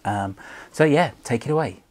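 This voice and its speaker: A man's voice: medium pitch, lively intonation, normal speed, darkish tone. A man hosting a vlog, chatting casually with plenty of fillers and friendly plugs for his guest. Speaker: channel host.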